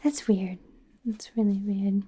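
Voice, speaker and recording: A woman's voice: medium pitch, steady tone, slow. Woman acting a whispering, nervous werewolf doctor. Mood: friendly, awkward. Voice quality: soft, breathy whisper. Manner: hesitant, stammering, self-correcting, apologetic. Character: nervous, friendly young female werewolf doctor in training. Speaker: ASMR roleplayer. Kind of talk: whispered ASMR medical roleplay.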